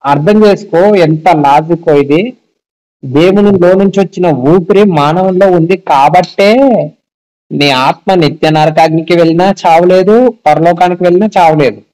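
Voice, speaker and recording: A man's voice: on the high side, normal speed, a little mellow. A man talking casually on a phone call about faith, explaining and often asking check-back questions. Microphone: telephone.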